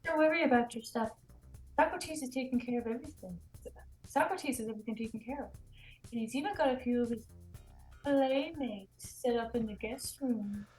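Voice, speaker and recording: A woman's voice: a little high, normal speed, darkish tone, faint music behind, natural voice, poor audio. A woman acting a persuasive, seductive recruiter in a comedic table read, coaxing and reassuring. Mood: breezy. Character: persuasive, seductive female recruiter for a pickup artist.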